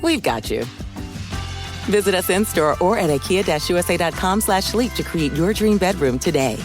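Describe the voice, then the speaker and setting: A woman's voice: fairly low pitch, expressive, normal speed, faint music behind. A woman announcer reading a scripted commercial voice-over. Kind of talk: advertising voice-over.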